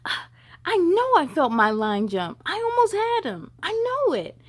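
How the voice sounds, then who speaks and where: Storybook old fisherman played by a female reader: boastful, argumentative, emphatic, high-pitched with big swoops. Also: wildly swooping pitch, a little slow, exaggerated voice. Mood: boastful, excited. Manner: emphatic, grumbling, bickering. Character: boastful, argumentative old man fishing by a lake. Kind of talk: children's storybook read-aloud.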